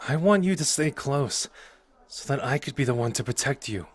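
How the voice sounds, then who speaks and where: A man's voice: medium pitch, animated, normal speed, husky. A man playing a cocky, protective sorcerer and sarcastic rival in an anime audio roleplay, informal and sardonic with an earnest core. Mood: soft, earnest.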